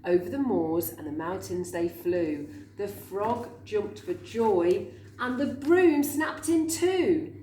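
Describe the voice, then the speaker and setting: A woman narrates a rhyming children's story expressively. Her voice sits a little low but swings widely in pitch. The recording is roomy, with faint background noise. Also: animated, takes it slowly, mellow. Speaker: storybook reader. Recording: echoey room with faint noise.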